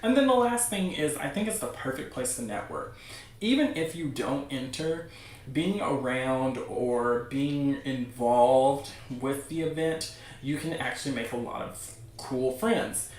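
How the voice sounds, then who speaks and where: A man's voice: medium pitch, expressive, measured pace, darkish tone. A man hosting a livestream, chatting casually to viewers in an informal, encouraging way. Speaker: livestream host. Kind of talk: casual chat to a livestream audience. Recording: very echoey room, distant mic.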